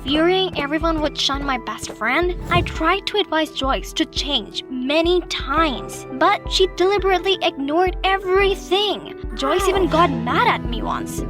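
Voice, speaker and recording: Story narrator: woman, very high register, scripted first-person delivery over a clear music bed. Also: lively intonation, unhurried, hardly any pauses. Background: background music.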